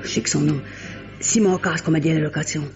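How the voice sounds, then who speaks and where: An actress playing a garrulous, working-class Parisian theatre usherette in a 1950s-style French radio mystery, talking colloquially and groping for words. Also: female voice, low voice, steady tone, quick pace, darkish tone, natural voice. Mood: confiding. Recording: dull vintage radio recording with music.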